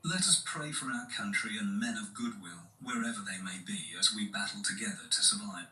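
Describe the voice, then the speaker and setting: A grave, formal government announcer, acted by a man, delivers a scripted emergency address. His tone is very sharp and bright, and the sound is roomy and distant, with faint hiss. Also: on the low side, a little fast. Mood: solemn, resolute. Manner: solemn, formal.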